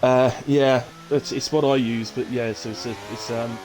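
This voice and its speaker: A man's voice: mid-range voice, steady tone, normal speed, background noise. A man with a chest infection giving informal live sim racing commentary and casual chat as the host, in short running phrases.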